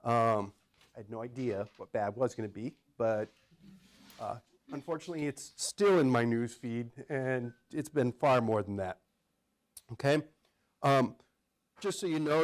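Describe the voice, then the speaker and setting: A man, an economics professor giving a live talk in a small room, conversational and wry, asking the audience questions. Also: mid-range voice. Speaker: economics professor, featured speaker.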